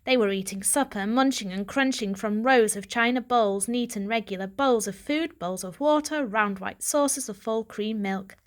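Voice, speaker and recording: A woman narrating a children's story aloud with an expressive, dramatic delivery. Her voice sits fairly high. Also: moderate pace, few pauses. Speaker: audiobook reader.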